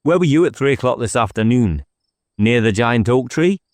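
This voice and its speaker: A man's voice: medium pitch, lively intonation, moderate pace, dry recording, lightly acted. A man voicing a blunt, impatient police inspector in an audiobook, questioning in a curt, sarcastic way. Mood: brisk interrogation.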